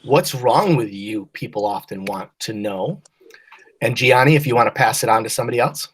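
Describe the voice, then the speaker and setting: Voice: mid-range voice, normal speed, slightly rough. A teacher on an online class call, patiently prompting and correcting readers with encouraging words and friendly pet names. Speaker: teacher leading a class read-aloud. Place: remote video class call.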